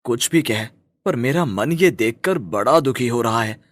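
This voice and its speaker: A man's voice: mid-range voice, lively intonation, measured pace, dry recording, studio quality, close to an everyday voice. A man voicing a disapproving village husband with a troubled conscience, reproachful and questioning, in a moral story. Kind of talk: dramatized Hindi moral story.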